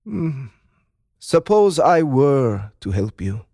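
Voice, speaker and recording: Icy Russian head of state, audiobook drama. Russian accent, unhurried and formal, expressive intonation. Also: male voice, mid-range voice, creaky, no room sound, clean studio sound, full character voice. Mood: reproachful. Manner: deliberate, formal, measured. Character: cold, formal, menacing male Russian president.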